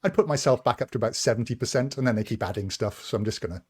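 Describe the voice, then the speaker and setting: A man's voice: mid-range voice, very fast, breathy edge. Man, podcast co-host, casual chat, self-deprecating, steers the conversation. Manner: chatty, self-deprecating, steers the round of questions.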